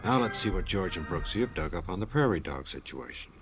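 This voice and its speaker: An actor as a suave, wry announcer and narrator of a 1940s radio show, speaking in a droll manner. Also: male voice, low voice, lively intonation, quick pace. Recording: old radio with loud organ music.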